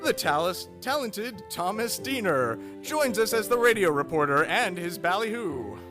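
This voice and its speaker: A man's voice: sits high, very animated, moderate pace, music in the background. A man hosting as announcer in a theatrical old-time radio style, with a grandiose, showmanlike delivery. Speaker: host, announcer.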